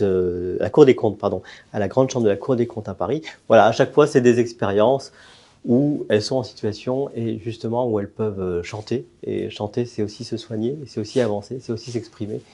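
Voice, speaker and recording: A man's voice: on the low side, mellow. A middle-aged man, a university choir director, answering in an interview with frequent fillers and restarts.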